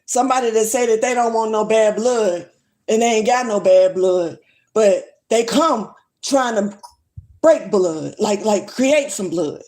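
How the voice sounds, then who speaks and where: A woman's voice: fairly high pitch, unhurried, darkish tone. A woman, ranting bluntly and swearing freely in a casual livestream. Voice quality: strong voice. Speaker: livestream vlogger. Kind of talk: casual rant to camera.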